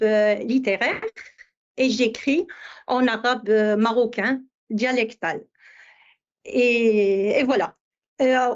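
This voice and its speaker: A woman in her fifties from Morocco, a poet answering interview questions hesitantly, with many pauses and fillers. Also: on the high side, muffled recording. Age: 50s. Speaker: poet guest.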